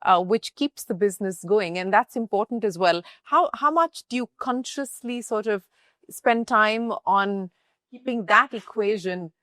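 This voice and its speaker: A woman's voice: medium pitch, unhurried, a bit bright, no room sound, clean studio sound. A woman with an Indian accent hosting a conversational business interview. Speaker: interviewer and host.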